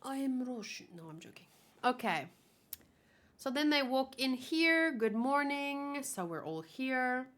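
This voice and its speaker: A woman's voice: high-pitched, expressive, a little slow, fairly bright tone. A woman whose first language is Afrikaans, chatting casually in a rambling, giggly way and often correcting herself as she hosts a manga read-along.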